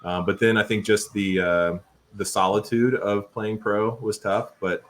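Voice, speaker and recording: A man's voice: lowish voice, little pitch movement, a little slow, a bit bright, a little hiss or hum. A man in his thirties, an assistant volleyball coach, talking casually in an interview with many fillers and restarts. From Portugal.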